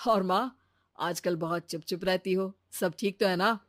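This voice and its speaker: A woman voicing a kind, supportive young husband in a cartoon, speaking gently and reasonably. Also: fairly low pitch, measured pace, no echo, lightly acted. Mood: gentle.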